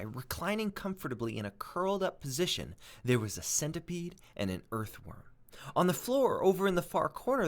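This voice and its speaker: A man reading aloud as a teacher, expressive and dramatic, with emphatic pauses. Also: a little high. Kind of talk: expressive storybook read-aloud and lesson.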